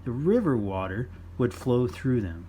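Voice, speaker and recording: A man reading a children's nonfiction book aloud in simple, deliberate phrases for young listeners. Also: mid-range voice, big pitch swings, mellow, slight background noise. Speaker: children's storytime reader.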